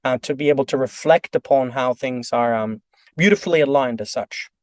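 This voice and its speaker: A man's voice: mid-range voice, fairly quick, dry recording. A man from Norway with a Norwegian accent, speaking earnestly as a podcast guest with frequent ums and uhs. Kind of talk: podcast interview.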